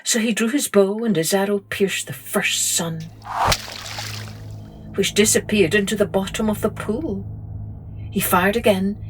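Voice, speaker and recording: Slow, gentle woman storyteller. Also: mid-range voice, low-quality recording. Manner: gentle, slow and expressive read-aloud. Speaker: storyteller and podcast host. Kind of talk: children's story narration.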